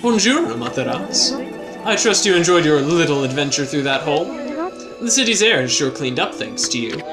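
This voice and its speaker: A man's voice: on the high side, expressive, normal speed, lightly acted. A man voicing a flamboyant, smug young male swordsman who teases theatrically and drops in French words. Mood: teasing. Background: game music.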